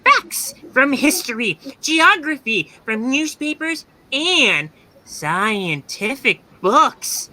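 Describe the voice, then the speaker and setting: A music hall manager in a vintage radio drama. He proclaims grandly in a high voice with big swoops, taking his time over each phrase. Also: sing-song, slow pace, sharp tone, exaggerated voice. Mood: grand, proclaiming. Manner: declamatory, theatrical. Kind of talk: 1930s radio play acting, stage announcing.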